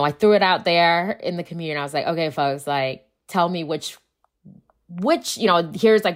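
Low-pitched woman talking casually. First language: Akan. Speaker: guest, school founder. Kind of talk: casual conversational interview.

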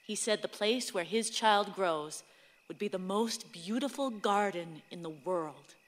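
A woman plays a wistful mother in a radio drama monologue. Her delivery is tender, intimate and reflective, at a medium pitch. Long reverb hangs around the words. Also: measured pace, lightly acted. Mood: wistful, loving. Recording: long reverberant hall sound.

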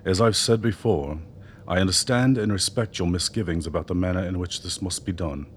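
An actor as a deep-voiced, silver-tongued older mentor. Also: male voice, lightly acted. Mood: assured, persuasive. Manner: eloquent, measured, grandiloquent. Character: older male mentor doctor, silver-tongued, persuasive political strategist. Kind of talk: radio drama acting. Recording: noticeable background noise.

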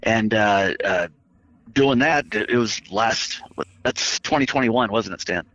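A man's voice: fairly low pitch, slow pace, fairly bright tone, no echo. A man with a scratchy voice, telling stories with self-mocking humor in a casual chat. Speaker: guest. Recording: dull lo-fi audio.

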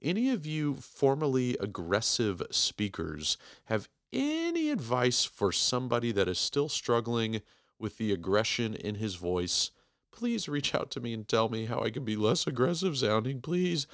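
A man in his forties hosting a podcast, giving a rambling, self-deprecating intro with emphatic, clearly enunciated speech. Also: mid-range voice, very animated, a little slow, a bit bright, no room sound, studio quality. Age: 40s. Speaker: podcast host. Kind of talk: casual podcast intro monologue.

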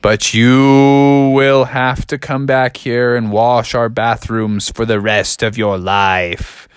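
A kindly gummy bear elder, voiced by a man storyteller. He makes solemn, earnest pronouncements with lively intonation. The sound is close and dry. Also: a little high, unhurried, no echo.

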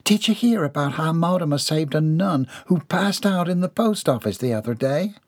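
Chirpy maid put on by a reader, high and bright-toned. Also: animated, moderate pace, no room sound, studio quality, lightly acted. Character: perky, quick young maid.

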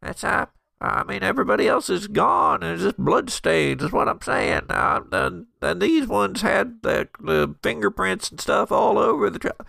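Man doing an exasperated small-town deputy, pitched up. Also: lively intonation, measured pace, vocal fry, hardly any pauses, bright, forceful tone, slightly rough, dry recording, exaggerated voice. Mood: flustered. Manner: blustery, exasperated.

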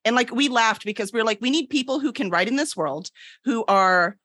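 A woman's voice: on the high side, animated, brisk, a bit bright, dry recording, studio quality. A woman guest in a casual interview, explaining things emphatically and often checking in with the listener. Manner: emphatic, peppers points with "right?". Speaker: podcast guest, book publisher.

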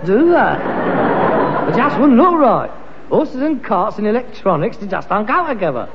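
In a dull, echoing vintage sitcom recording, an exasperated working-class Cockney son with grand ideas of himself. The voice sits high as he nags and pontificates. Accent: London Cockney. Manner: nagging, pompous. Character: exasperated, self-important working-class London son with aspirations. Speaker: sitcom actor. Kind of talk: vintage British sitcom acting. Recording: dull, noisy, reverberant archive sitcom recording.

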